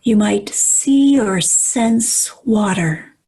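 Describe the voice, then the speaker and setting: Female meditation guide; hushed, very airy tone, unhurried delivery, calm measured phrases with long pauses. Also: medium pitch, poor audio. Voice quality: soft, breathy, at times near whisper.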